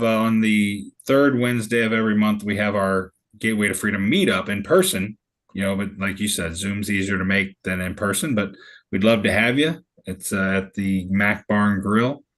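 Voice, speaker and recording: Chatty laughing St. man host. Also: lowish voice. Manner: chatty, laughs often, loose rambling restarts. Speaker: meetup host. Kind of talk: casual group video call chat.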